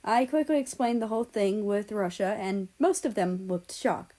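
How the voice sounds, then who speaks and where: A woman reading fiction aloud as an expressive narrator. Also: mid-range voice, normal speed, poor audio. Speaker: story narrator.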